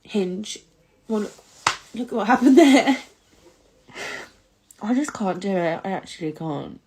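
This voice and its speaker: A woman's voice: medium pitch, expressive, measured pace, a little mellow, slight background noise. A woman crying while talking in a rambling way in a casual confessional video from home, swearing often. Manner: tearful, swears a lot, rambling. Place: indoors at home.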